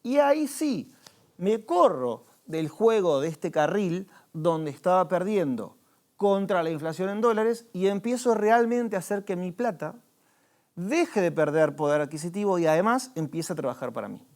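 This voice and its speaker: A man's voice: sits high, expressive, moderate pace, darkish tone. A man with an Argentine Spanish accent, a financial adviser patiently explaining savings with examples and some hesitant restarts. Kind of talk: explanatory conversation.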